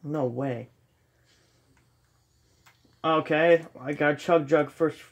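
A man's voice: on the high side, steady tone, drawn-out words, lo-fi sound. A young man with a slight cold, casually commenting while he plays a game at home, rambling and self-deprecating with many ums. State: sniffly with a cold. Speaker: gaming channel host. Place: dark room at home, filming a TV screen.

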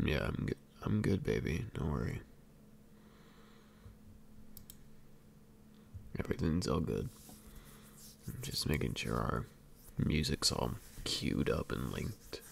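A man playing an affectionate, clingy emo boyfriend in an intimate ASMR roleplay, murmuring tenderly and haltingly with a breathy, gravelly, very deep voice. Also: expressive, a little hiss or hum, full character voice. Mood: reassuring, soothing. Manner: tender, unhurried, halting and doting.

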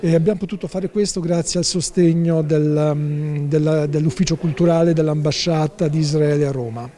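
A man's voice: a little high, fairly even intonation, normal speed, warm tone. A man in his sixties being interviewed as a festival's artistic director, talking through a face mask with many fillers and restarts. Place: indoor office, wearing a face mask.

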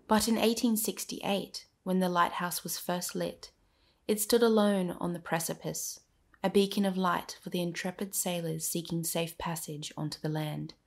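A woman narrating a scripted history podcast, speaking directly to the listener in a dramatic storytelling manner. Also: lowish voice.

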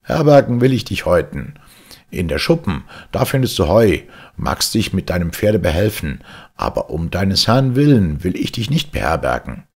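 A man voicing an angry village blacksmith who scolds his lord, in a raised, higher voice than his narration. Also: big pitch swings, moderate pace, husky, exaggerated voice. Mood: scornful.

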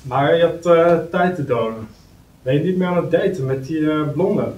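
A man's voice: a little high, normal speed, darkish tone, lightly acted. Man reading a jovial, teasing colleague, echoey room. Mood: chummy banter. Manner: chummy, mocking, colloquial. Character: jovial, teasing male office colleague of fifty. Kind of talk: script table read. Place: meeting room, indoors. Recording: roomy, distant mic, faint noise.

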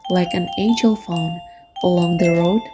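A woman's voice: on the low side, fairly even intonation, unhurried. A woman narrating a scripted children's bedtime story as a storybook narrator. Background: background music.

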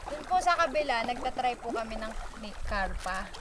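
A young woman guides visitors around her farm, speaking politely and slipping English words into her chat. Her voice sits a little high. She is recorded outdoors on a camera mic, with steady outdoor noise behind her. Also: moderate pace. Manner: polite, mixes English into Tagalog. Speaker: farm owner showing the farm. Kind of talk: casual tour chat, polite po. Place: outdoors by a fish pond.

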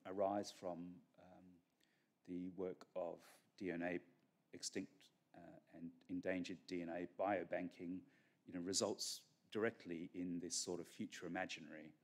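Deep-voiced man giving a lecture. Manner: measured, with frequent fillers and restarts. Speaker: keynote speaker. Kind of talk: academic conference lecture. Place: large conference hall stage. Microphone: podium microphone.

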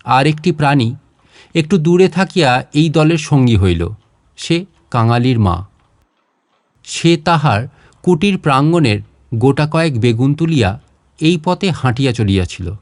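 A man narrating literary fiction in a measured, expressive way, pausing between phrases. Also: medium pitch, no echo. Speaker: storyteller reading literary fiction. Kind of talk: dramatic literary narration in formal prose.

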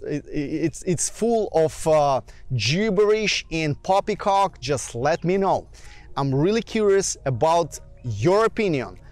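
A man hosting a travel video, promoting a vacation rental with an upbeat, salesy pitch aimed straight at the viewer. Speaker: villa rental promoter and channel host.

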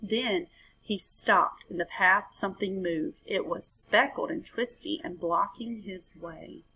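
A woman's voice: medium pitch, slow, vocal fry, pushed and bright, husky, low-quality recording. A woman in her thirties reading a children's story aloud expressively, recorded at home on a computer mic. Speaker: storybook reader. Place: indoor room at home. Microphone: webcam or laptop mic.

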